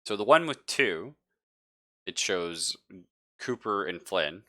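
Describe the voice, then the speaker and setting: A man narrating a horror tabletop game as game master, improvising in a hesitant, halting way. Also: low-pitched, expressive, bright, forceful tone.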